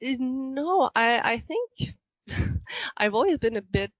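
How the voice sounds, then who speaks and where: A woman's voice: high-pitched, animated, takes it slowly, no echo, dull audio. A woman from Quebec with a Quebec French accent, chatting as an interview guest, laughing often and using many fillers. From Quebec, Canada. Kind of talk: casual interview chat.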